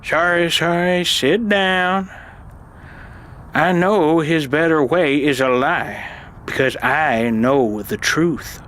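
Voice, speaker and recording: A man playing an irascible, desperate old uncle in an audio drama, urgent and halting as he insists on his truth. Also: on the high side, fairly bright tone. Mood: agitated. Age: older. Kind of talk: dramatized audio fiction acting.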